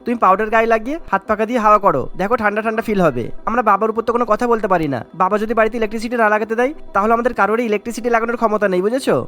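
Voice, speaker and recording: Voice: medium pitch, very fast, few pauses, a bit bright, pitched below the performer's own voice, natural voice. A performer voicing a meek young husband in a cartoon, placating and explaining. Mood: resigned. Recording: music bed behind the voice.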